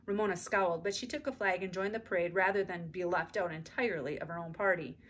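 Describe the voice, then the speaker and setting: A woman's voice: lowish voice, fast talker, fairly bright tone. A middle-aged woman narrating a children's book expressively, reading aloud indoors at home. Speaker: read-aloud storyteller.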